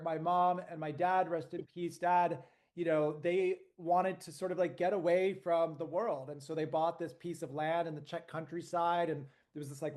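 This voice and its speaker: Playful, animated man on a panel. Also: fairly high pitch. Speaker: panel guest. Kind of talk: casual panel chat.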